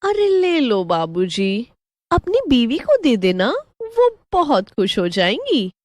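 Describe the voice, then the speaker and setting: A woman's voice: fairly high pitch, wildly swooping pitch, slow pace, a little mellow, no room sound, exaggerated voice. A woman voicing a young flower-seller girl who coaxes sweetly and playfully in a horror story. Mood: coaxing.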